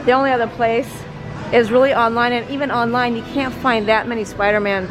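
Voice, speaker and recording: A woman travel vlogger, a bit hoarse from losing her voice, chatting step by step through a theme park visit. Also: fairly high pitch, steady tone, brisk. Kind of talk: casual vlog narration. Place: busy theme park, indoors and out. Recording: crowded park noise with music. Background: crowd chatter and music.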